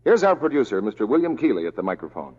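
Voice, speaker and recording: A man's voice: medium pitch, very animated, very fast, darkish tone. A man announcing in a polished, emphatic, scripted 1940s radio advertising style. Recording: muffled, reverberant vintage radio recording.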